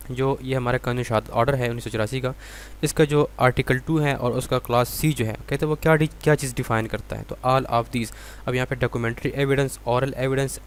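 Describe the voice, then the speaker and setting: A man's voice: medium pitch, slight background noise. A Pakistani man giving an explanatory law lecture as a tutor, reading out questions and then explaining them didactically, repeating and rephrasing his points.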